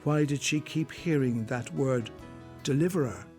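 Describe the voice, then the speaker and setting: Solemn male storyteller, mid-pitched. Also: a little slow, over music. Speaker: narrator. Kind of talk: scripted storytelling narration.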